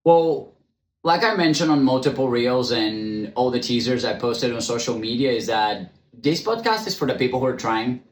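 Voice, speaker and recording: A man's voice: medium pitch, lively intonation, normal speed. Candid male podcast host, echoey room. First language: not English. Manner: stutters, restarts, many ums; frank, blunt, swears casually. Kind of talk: candid solo podcast monologue. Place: room, recording on camera. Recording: echoey room, faint ambience.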